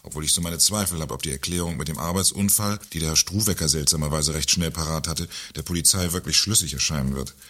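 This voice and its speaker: Actor as deep-voiced, sardonic company director. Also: male voice, quick pace, hardly any pauses, fairly bright tone. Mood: grave, condescending. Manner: dry, condescending, formal. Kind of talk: satirical radio play acting.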